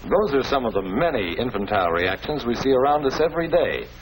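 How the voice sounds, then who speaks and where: A man's voice: medium pitch, big pitch swings, brisk, close to an everyday voice. An actor with an American accent playing a patient, fatherly schoolmaster who explains things in a 1940s educational film. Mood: patient, instructive. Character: patient, avuncular male school principal or teacher. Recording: noisy old 1940s film soundtrack.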